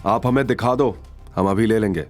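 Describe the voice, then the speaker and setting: A man's voice: fairly low pitch, moderate pace, soft background music, close to an everyday voice. A man acting a confident, determined young hero. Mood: firm, defiant. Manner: firm, assured, reassuring.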